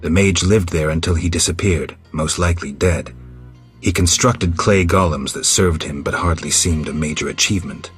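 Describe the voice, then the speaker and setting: An actor playing a gruff, stoic monster hunter in a video game, terse and deadpan, with a gravelly voice. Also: male voice, deep voice, normal speed, soft background music, close to an everyday voice. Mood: deadpan, guarded. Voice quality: gravelly, husky.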